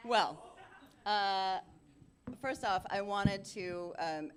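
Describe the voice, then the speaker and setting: A woman's voice: medium pitch, very slow. A woman hosting a live fan panel, chatting casually and effusively, laughing often. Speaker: panel host and voice actor. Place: café event space with audience.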